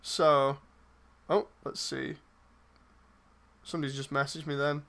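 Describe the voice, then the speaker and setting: A man's voice: fairly high pitch, flat delivery, measured pace, gravelly, sharp tone. A man chatting casually on a livestream as he replies to messages, rambling and hesitant, often trailing off mid-thought. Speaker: streamer and project lead casting voice roles.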